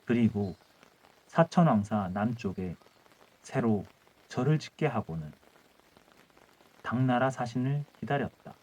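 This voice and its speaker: Male storyteller with very lively intonation. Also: mid-range voice, unhurried, pauses often, poor audio. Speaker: storyteller, audiobook narrator. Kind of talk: scripted folktale narration.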